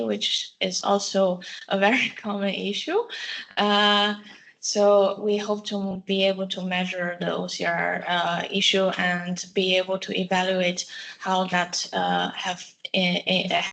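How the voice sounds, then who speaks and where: A woman giving an academic conference presentation, pausing often with filler sounds. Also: medium pitch, fairly bright tone, poor audio. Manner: halting, many filler sounds. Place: seminar room.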